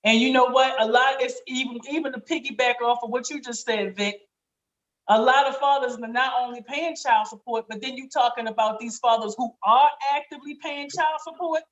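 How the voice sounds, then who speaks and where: A woman with a raspy voice speaking candidly and emphatically in casual, slangy podcast conversation, swearing freely. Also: fairly high pitch, brisk, fairly bright tone, highs cut off. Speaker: podcast guest.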